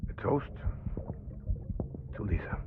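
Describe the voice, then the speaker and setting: A man's voice: takes it slowly, lightly acted. An actor playing a secretive, convivial man in a confiding manner in a video game. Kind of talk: video game cutscene acting. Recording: muffled phone-like band, loud noise.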